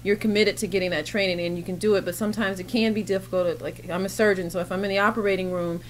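A woman's voice: medium pitch, fairly even intonation, fast talker. A woman in her forties giving casual, explanatory answers as an interview guest. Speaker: surgeon and competitor, interview guest. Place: indoor backdrop set at a competition venue. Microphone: interviewer's handheld microphone. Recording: noisy event venue ambience.

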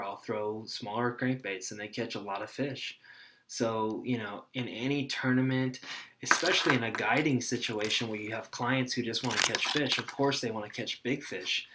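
A young man, a fishing guide, explaining gear to a webcam at his desk in a small room, in a relaxed, rambling way with many fillers. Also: medium pitch. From Texas. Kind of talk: casual tutorial to camera.

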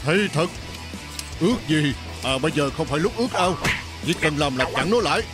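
A man's voice: on the high side, lively intonation, brisk, over music, lightly acted. A man voicing a scheming, bumbling henchman villain in a children's cartoon, with sly, exaggerated delivery. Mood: envious.

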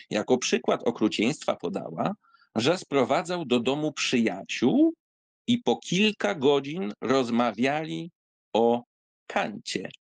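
A man giving an expressive, theatrical reading of a literary essay, with wry asides and sighs. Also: mid-range voice, gravelly, no room sound. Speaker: audiobook reader.

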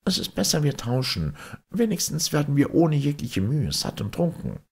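Man putting on high-pitched grumbling merchant. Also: huge pitch swings, moderate pace, creaky, a little mellow, husky, exaggerated voice. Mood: scheming. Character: scheming, grumbling male merchants in a fairy tale.

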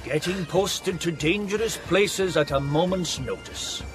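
Sly, high-voiced menacing constable. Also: male voice, unhurried, music in the background. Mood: quietly menacing. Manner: falsely polite, insinuating. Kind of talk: radio drama acting.

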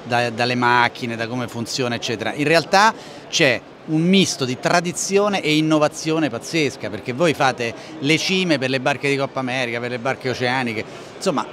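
A man's voice: mid-range voice, moderate pace, a bit bright. A man, interviewing in a chatty, informal way. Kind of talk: conversational interviewing. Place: open trade-show stand. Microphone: shared handheld microphone. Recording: faint trade-fair background noise. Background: faint ambient noise.

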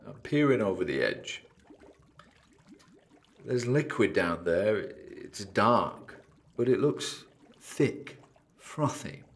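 A spooked ghost hunter talking into his tape recorder, real low and croaky, horror audio drama. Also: fairly bright tone, heavily acted. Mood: disgusted, uneasy. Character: uneasy male paranormal investigator narrating his tape log. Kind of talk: horror audio drama, first-person found-tape monologue.

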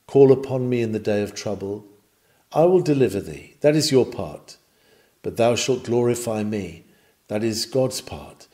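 A man's voice: fairly high pitch, warm tone. Man reading a sermon with sweeping intonation. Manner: oratorical, emphatic, declamatory. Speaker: narrator reading a historical sermon. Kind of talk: dramatic sermon reading, scripted.

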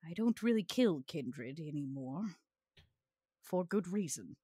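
A woman's voice: low-pitched, lively intonation, unhurried, mellow, husky, no room sound, clean studio sound, heavily acted. A woman playing a poised, courteous vampire in a roleplay game, choosing her words formally and carefully. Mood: reluctant, careful. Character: poised, courteous vampire, a weary retired enforcer. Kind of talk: tabletop roleplay acting.

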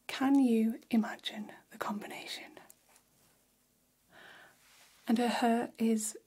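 A woman in her thirties playing a gossipy, catty vampire hairdresser in an ASMR roleplay, whispering in a breathy, confiding way close to the mic. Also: fairly high pitch, steady tone. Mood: catty, amused. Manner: slow, hushed, confiding. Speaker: ASMR performer. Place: small decorated room, indoors. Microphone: close ASMR microphone.

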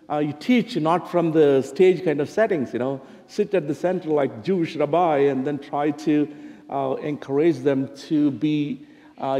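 A middle-aged man with an Indian accent, a minister addressing his congregation in a conversational, halting, earnest way. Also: a little high, dark tone. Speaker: church minister. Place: large church sanctuary at a pulpit. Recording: reverberant church hall.

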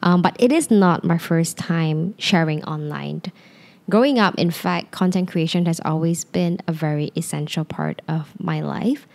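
A young woman with a Malaysian English accent that leans a little North American, speaking in a slightly low, relaxed voice. She talks openly and confidingly, and she rambles a little at times. She is recorded close on a handheld microphone while sitting on a sofa at home. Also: measured pace. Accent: Malaysian English with North American influence. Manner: open, confiding, sometimes rambling. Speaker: podcast host. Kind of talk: casual solo podcast monologue. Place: living room, seated on a sofa.

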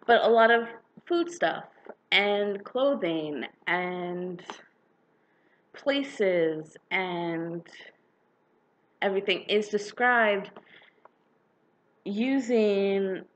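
A young woman, slightly hoarse from a cold, giving her frank, opinionated take in a casual vlog from her living room, recorded on a webcam. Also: mid-range voice, extremely slow, long pauses. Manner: chatty, opinionated, frank with mild swearing. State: slightly hoarse, getting sick. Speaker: book vlogger. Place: living room with a couch, indoors.

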